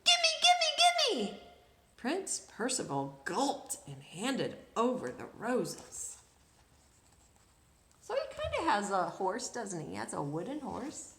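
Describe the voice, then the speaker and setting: A woman reading a children's story aloud expressively, pausing to chat and ask the young listeners questions. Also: mid-range voice, huge pitch swings, gravelly, echoey room, a little hiss or hum. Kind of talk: animated children's read-aloud.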